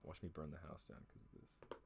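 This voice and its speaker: A young man with a very low voice rambles easily between tracks, joking at his own expense. He talks in a relaxed, unhurried way, with a faint hum of room noise behind him. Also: creaky. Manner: rambling, self-mocking and laid-back. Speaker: host. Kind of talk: casual chat between songs.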